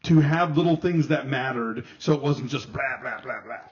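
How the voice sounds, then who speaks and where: A man film critic in a casual review chat, reasoning steadily and closing the show with its sign-off. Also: mid-range voice. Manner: measured, reasoning, reads the show's sign-off. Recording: dull lo-fi audio.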